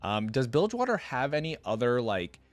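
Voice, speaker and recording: A man's voice: mid-range voice, big pitch swings, brisk. A man hosting a reaction video, giving casual, curious commentary and musing aloud.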